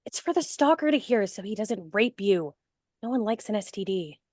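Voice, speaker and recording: A woman plays a young best friend, breezy and blunt. She hands out matter-of-fact advice in a mid-pitched voice, in a horror comedy style script read. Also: lively intonation, normal speed, a little mellow, lightly acted. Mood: breezy, encouraging. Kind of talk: actor's table read of horror film script.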